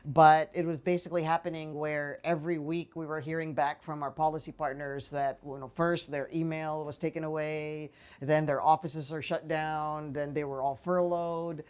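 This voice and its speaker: A woman's voice: deep voice, level tone, moderate pace, few pauses, a little mellow, smooth. A woman in her forties from the Philippines, a political science professor answering interview questions in a small office on a lapel mic, thoughtful and full of fillers and restarts. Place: small office with bookshelves, indoors.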